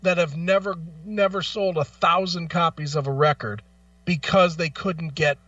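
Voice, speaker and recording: A man's voice: on the high side, fairly even intonation, normal speed, a bit bright, no echo, distant mic, dull audio. A man hosting a talk show in an opinionated rant, blunt, profane and argumentative, repeating phrases for emphasis. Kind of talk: opinionated music talk, ranting.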